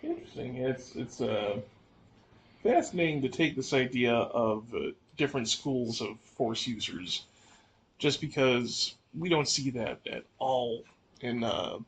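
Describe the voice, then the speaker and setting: A man's voice: mid-range voice, expressive, unhurried, a little hiss or hum, poor audio. A man co-hosting a podcast, discussing and asking questions in a casual conversational style.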